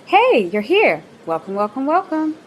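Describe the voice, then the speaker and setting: Bubbly bossy office manager, sing-song, swoops up and down a lot, radio play. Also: female voice, sits high, huge pitch swings, unhurried, slight background noise, full character voice, rough recording. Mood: upbeat. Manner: chirpy, sing-song, upbeat.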